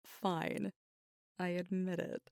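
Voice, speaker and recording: Female actor as werewolf girlfriend for ASMR roleplay; hushed, husky with some fry, guarded and tender, very dry close mic. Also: fairly low pitch, level tone, no echo. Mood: amused. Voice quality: breathy, often near a whisper. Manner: halting, hushed, confessional, with pauses. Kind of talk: intimate ASMR audio roleplay. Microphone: close microphone, dry.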